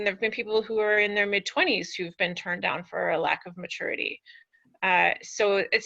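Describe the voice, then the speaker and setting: A woman's voice: mid-range voice, sharp tone. A woman giving casual, unscripted answers on a panel. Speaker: air traffic controller, panelist. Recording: dull lo-fi webinar audio.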